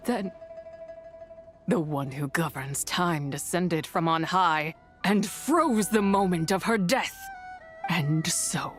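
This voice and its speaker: A woman voicing an ancient, menacing spirit in a video game, grave and imperious, with weary, archaic speech. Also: fairly low pitch. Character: ancient, menacing female spirit of impure energy, imprisoned and weary.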